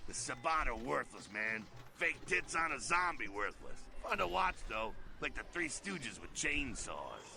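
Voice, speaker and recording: Brash streetwise rebel vampire, animated. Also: male voice, mid-range voice, a little slow, vocal fry, hardly any pauses, a little hiss or hum, heavily acted. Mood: mocking. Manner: slangy, swaggering, streetwise delivery. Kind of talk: video game character dialogue.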